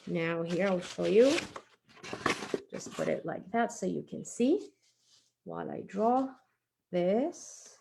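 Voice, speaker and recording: A woman's voice: mid-range voice, creaky, mellow. Casting: woman, mid-range voice, informal encouraging craft teacher for kids, hands-busy delivery, slightly noisy recording. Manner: informal, encouraging, talking while working with hands. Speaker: craft tutorial presenter. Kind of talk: casual step-by-step craft demonstration for children.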